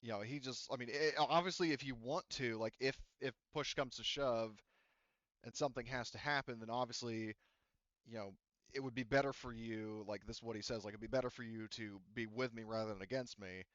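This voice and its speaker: A man's voice: medium pitch, fairly even intonation, brisk, fairly bright tone, no echo. Gm guy rambling thru an npc's deal, tons of "like" and "you know", dry dull mic. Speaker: game master. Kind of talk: narrating a tabletop game, relaying an NPC's offer.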